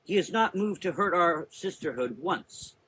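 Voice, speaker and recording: A proud warrior sister acted out by a man stands her ground in a gothic audio drama. Her voice is raised high and sharp, earnest and defiant. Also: animated, measured pace, slight background noise, rough recording. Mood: frustrated.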